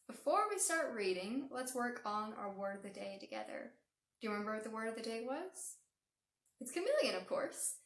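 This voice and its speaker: A woman hosting an interactive storytime for young children, enunciating in an animated, exaggerated way in an indoor room. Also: medium pitch. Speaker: children's librarian, storytime host. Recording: echoey room.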